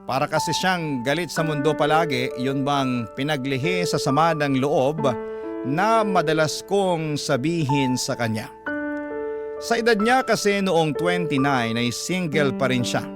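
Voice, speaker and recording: A man narrating a listener's letter in the first person in a classic radio style, earnest and measured. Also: a little high, expressive. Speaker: storyteller reading a listener's letter. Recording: loud background music.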